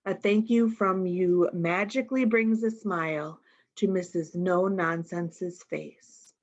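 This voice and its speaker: A woman's voice: lowish voice, takes it slowly. Woman reading to children, slightly muffled recording. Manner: expressive, explains pictures to young listeners. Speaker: storytime reader. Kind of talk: reading a picture book aloud to children.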